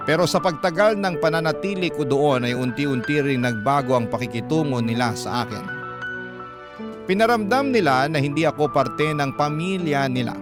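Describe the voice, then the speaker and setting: A man reading a scripted story as a radio-style dramatic storyteller. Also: mid-range voice. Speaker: storyteller reading a listener's letter.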